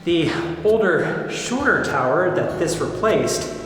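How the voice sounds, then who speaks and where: A man's voice: fairly high pitch, animated, slow pace, a bit bright. A man hosting a guided tour documentary, presenting to camera in a chatty, playful way. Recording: echoey hall reverb, music behind.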